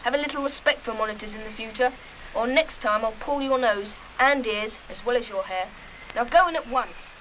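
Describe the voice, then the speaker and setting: A woman's voice: a little high, brisk, fairly bright tone, slight background noise, natural voice, poor audio. An actress playing an officious, indignant young boy school monitor in a children's radio play, bossy and emphatic. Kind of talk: full-cast children's radio play acting.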